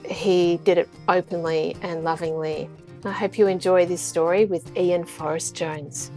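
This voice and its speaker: A woman hosting a podcast, earnest and gentle as she introduces and encourages her guest. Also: on the low side, fairly even intonation, a little slow, music in the background. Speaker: podcast host.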